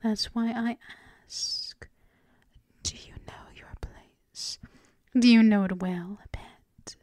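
Woman playing a dominant immortal vampire mistress, ASMR roleplay, teasing, breathy whisper, fairly high pitch, dry close mic. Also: steady tone, no echo. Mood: teasing, commanding. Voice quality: breathy whisper mixed with soft voiced speech. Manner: slow, lingering, repeats phrases, teasing endearments. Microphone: close microphone.

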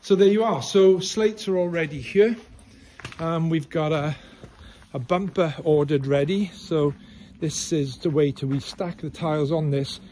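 A man in his fifties giving a casual, plain-spoken, halting update as a property vlogger, recorded outdoors on a handheld phone. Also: fairly high pitch, dark tone, slight background noise. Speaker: property developer presenting a video channel. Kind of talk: casual site-update vlog. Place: outdoors on a barn building site. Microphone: handheld phone at arm's length.

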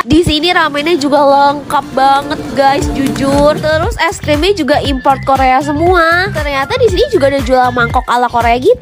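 Young woman, very high bright voice, chirpy shopping voice-over with music underneath. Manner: chirpy, quick product commentary. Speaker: shopping vlogger. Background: background music.

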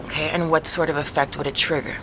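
A woman playing a measured, matter-of-fact neurologist who explains things in a naturalistic audio drama. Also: low voice, little pitch movement, noisy background, lightly acted. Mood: skeptical.

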